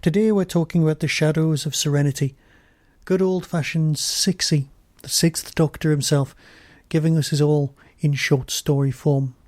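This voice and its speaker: A man's voice: on the high side, steady tone, normal speed, a little mellow, no echo. A man presenting a podcast review as host, in scripted commentary style.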